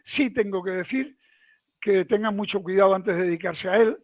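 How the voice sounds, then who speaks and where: A man gives a relaxed, folksy technical talk over a video call. His voice sits fairly high. The audio is dull and lo-fi, with a dry, close sound. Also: normal speed, no room sound. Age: 70s. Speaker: guest lecturer. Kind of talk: online technical lecture.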